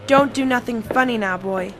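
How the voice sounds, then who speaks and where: Woman playing a high-pitched, surly tough bartender. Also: normal speed, noisy background, natural voice. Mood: menacing. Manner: slangy, blunt, folksy tough talk. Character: surly, suspicious bartender and henchman. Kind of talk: detective radio drama acting.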